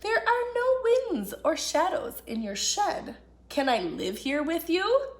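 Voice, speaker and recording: A woman reading a children's storybook as a small, timid, playful young male fox, excitable and earnest. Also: very high voice, takes it slowly, full character voice.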